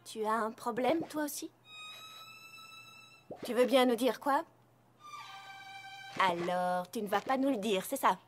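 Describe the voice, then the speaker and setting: A woman's voice: on the high side, unhurried, long pauses, faint music behind, lightly acted. A woman voicing a bookish, earnest young female pony magician in a cartoon dub, lecturing and emphatic. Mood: insistent. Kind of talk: animated cartoon dub acting.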